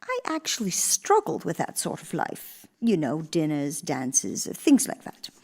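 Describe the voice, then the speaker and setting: A woman's voice: low-pitched, huge pitch swings, vocal fry, darkish tone, breathy edge, full character voice. Woman playing an elderly, genteel stateswoman, expressively lilting. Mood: nostalgic. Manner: measured, genteel, deliberate storytelling. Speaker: stage actress. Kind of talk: theatrical one-woman monologue. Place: live radio theater performance in a church.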